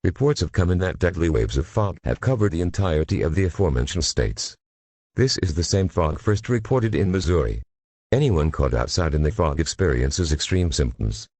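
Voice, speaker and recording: A man voicing a solemn federal emergency announcer with a husky voice, formally reading a scripted warning. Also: sits low, animated, normal speed, a little mellow, no room sound, full character voice. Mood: solemn, official.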